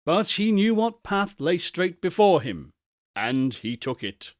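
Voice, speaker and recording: A man reads a classic story aloud as narrator, with expressive literary delivery. His pitch is slightly high and moves around a great deal, and the recording is close and dry. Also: takes it slowly, no echo.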